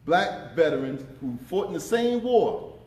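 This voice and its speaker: A man in his fifties with a deep voice, speaking on a conference panel in an impassioned, emphatic way, repeating words as he presses his points. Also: sing-song, unhurried, a little mellow. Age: 50s. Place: conference room. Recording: echoey conference room.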